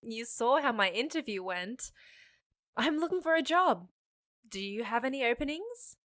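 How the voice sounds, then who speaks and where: An actress playing a frank, wry half-human job seeker in a fiction podcast, candid and slightly awkward. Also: female voice, fairly high pitch, lively intonation, moderate pace, fairly bright tone. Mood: earnest.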